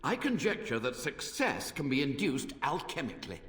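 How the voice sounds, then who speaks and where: An actor playing a deranged, obsessive mage dictating research notes in a fantasy video game, feverish and theatrical. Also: male voice, a little high, big pitch swings, moderate pace, fairly bright tone. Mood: clinical, obsessive. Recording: roomy echo, slightly distant.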